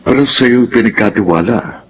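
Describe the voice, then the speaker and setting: A man's voice: lowish voice, moderate pace, slight background noise, natural voice, poor audio. A man with a Filipino accent playing a stern, patient middle-aged father in a radio drama. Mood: firm, concerned.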